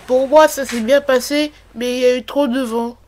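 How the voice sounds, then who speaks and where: High-voiced inquisitive young child played by a woman. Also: fairly even intonation, moderate pace, darkish tone, a little hiss or hum, lightly acted. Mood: curious. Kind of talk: children's cartoon dialogue.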